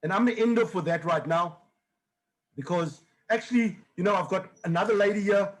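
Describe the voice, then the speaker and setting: Man hosting a motivational video call, encouraging, pitched high. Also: brisk, poor audio. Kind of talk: motivational presentation.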